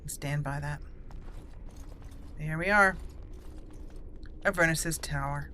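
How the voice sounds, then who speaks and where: A woman's voice: deep voice, slow, pushed and bright, slight background noise. A woman hosting a roleplay video game series, chatting casually with frequent fillers and self-corrections. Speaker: let's play host.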